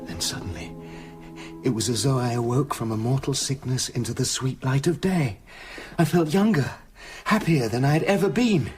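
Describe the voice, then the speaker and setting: An actor playing a tormented scientist split between two selves in a radio drama, intense and dramatic. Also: male voice, mid-range voice, lively intonation, normal speed, darkish tone, soft background music, natural voice. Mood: exalted.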